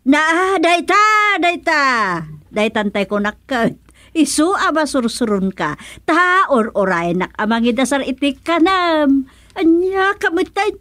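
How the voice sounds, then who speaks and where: An actress playing a fussy, chiding village wife and peacemaker in a radio comedy-drama, with an Ilocano accent. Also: female voice, high-pitched, expressive, measured pace, fairly bright tone, no echo.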